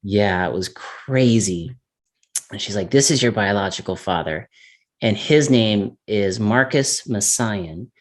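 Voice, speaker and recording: A man from California talking casually as an interview guest, animated and laughing often. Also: lowish voice, slow. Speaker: interview guest, mountain biker. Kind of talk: casual conversation.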